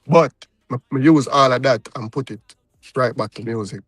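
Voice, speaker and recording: A man's voice: mid-range voice, moderate pace, no room sound. Laid-back Jamaican man in dry interview. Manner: laid-back, peppers talk with "you understand?". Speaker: recording artist guest. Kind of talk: casual interview talk.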